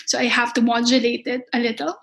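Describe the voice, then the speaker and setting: A young woman with a Filipino accent, a culinary instructor answering interview questions with halting, careful phrasing and frequent restarts. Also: fairly high pitch, level tone, vocal fry, fairly bright tone. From Philippines. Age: young adult. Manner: halting, many restarts, speaks with care.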